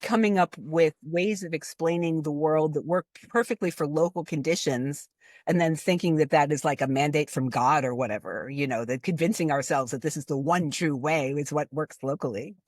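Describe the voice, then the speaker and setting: A woman in her fifties from Cleveland talks through ideas in a loose, conversational way. Her pitch jumps high on emphasized words. The recording is dry and close. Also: moderate pace, a little mellow, no echo. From Cleveland, Ohio. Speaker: guest expert. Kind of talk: casual conversational discussion.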